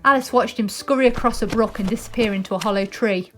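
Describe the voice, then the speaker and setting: A woman's voice: on the high side, fast, a bit bright, soft background music, low-quality recording. A British woman presenting and reading a children's story aloud.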